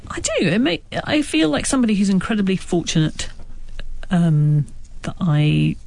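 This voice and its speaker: A woman's voice: medium pitch, big pitch swings, normal speed, creaky, a little mellow. A woman from Wales with a stammer, an author answering interview questions in a thoughtful way, searching for her words. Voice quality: has a stammer. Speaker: author guest.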